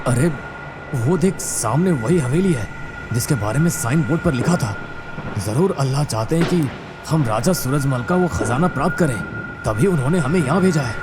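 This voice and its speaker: A man playing an excitable young male traveller in a cartoon horror story, speaking in a dramatic, exclamatory way. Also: medium pitch, moderate pace, lightly acted. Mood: excited. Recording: music under the voice.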